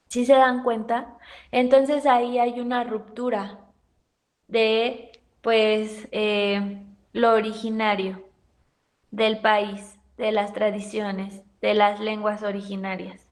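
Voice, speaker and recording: A Mexican woman teaching a university class in a slow, measured way, often stopping to put questions to the students. Her voice sits a little high, and her pace stays unhurried. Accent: Mexican Spanish. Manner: questioning the class, thoughtful pauses. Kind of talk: university pedagogy lecture.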